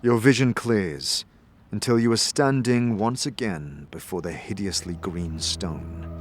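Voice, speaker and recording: A man's voice: on the low side, a little slow, slight background noise. A man reading scripted, dramatic narration for a fantasy audio series.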